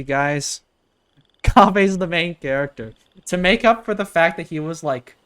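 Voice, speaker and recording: A woman's voice: sits low, a bit bright. A woman reacting to a game in a casual, slangy, exclamatory way. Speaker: reaction video host.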